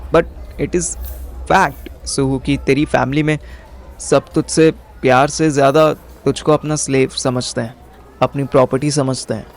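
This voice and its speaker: A man's voice: mid-range voice, fairly even intonation, unhurried. A young man narrating an audio story in the first person in casual, slangy Hinglish, acting out his own dialogue. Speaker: narrator and lead character.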